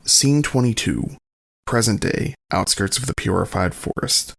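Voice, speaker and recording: A man's voice: on the low side, animated, a little slow, vocal fry, a bit bright, husky. A man reading scripted scene-setting narration as the narrator of an audio drama.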